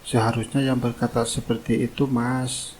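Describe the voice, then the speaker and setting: A man playing a meek, soft-hearted labourer and husband in a cartoon drama. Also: mid-range voice, level tone, moderate pace, a little hiss or hum, lightly acted. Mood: gentle, admiring.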